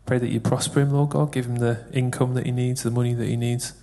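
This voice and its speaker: A man's voice: medium pitch, little pitch movement, quick pace, gravelly, dark tone. A man praying aloud for someone in church, earnest, repeating phrases as he goes. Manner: earnest, repetitive prayer phrasing. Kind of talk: spoken prayer.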